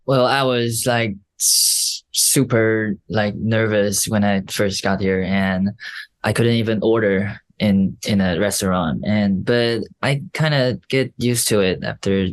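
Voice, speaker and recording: A young man whose first language is Chinese speaks English slowly, with a Chinese accent. His voice sits fairly low, and he stops and restarts to find words. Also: fairly even intonation. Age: young adult. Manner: halting, simple English with restarts. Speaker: young actor interviewed as guest.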